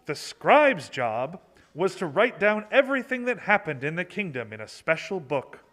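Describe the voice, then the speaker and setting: A man narrates a children's puppet show in simple, theatrical phrases. His voice is a bit high and very expressive, with a bright edge and an unhurried pace. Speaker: storyteller, narrator. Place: social hall, live broadcast.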